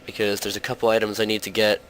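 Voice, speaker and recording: A young man with a lowish voice narrates his gameplay in a loose, rambling way, with lots of "like" and "um". His voice is a little rough from a cold. A faint hum of noise sits under the recording. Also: monotone, quick pace, fairly bright tone. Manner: rambling, heavy on fillers like "like" and "um". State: slightly hoarse from a cold. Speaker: host of a gaming channel. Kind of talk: casual gameplay commentary.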